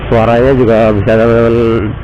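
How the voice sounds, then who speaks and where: A man's voice: medium pitch, muffled recording. A man with a stuffy, nasal voice from a cold, chatting casually as he vlogs while riding a motorbike through city traffic. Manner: relaxed, chatty, unhurried. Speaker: motovlogger. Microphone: action camera mic.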